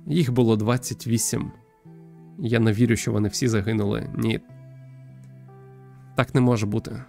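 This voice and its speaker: A man voicing the weary, fond ghost of a male teacher, speaking haltingly and earnestly in a visual novel. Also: on the low side, fairly even intonation, creaky, a little mellow, faint music behind. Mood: grieving, confused. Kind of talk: visual novel character dialogue.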